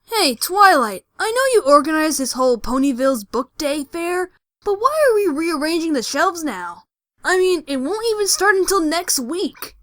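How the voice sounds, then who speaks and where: A woman's voice: extremely high pitch, expressive, normal speed, fairly bright tone, no room sound, studio quality. A woman voicing a young, questioning, mildly exasperated male cartoon dragon in an audio drama. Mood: cheeky, exasperated.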